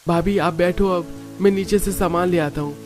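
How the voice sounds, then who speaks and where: A man puts on a young cartoon brother-in-law, protective and indignant. The voice is pitched well up, with faint music behind. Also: steady tone, moderate pace, lightly acted. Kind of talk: scripted cartoon voice acting.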